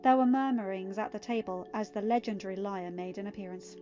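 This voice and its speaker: A woman's voice: mid-range voice, brisk, dark tone, lo-fi sound. A woman reading as a podfic storyteller. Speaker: podfic narrator. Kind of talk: scripted story narration. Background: faint music.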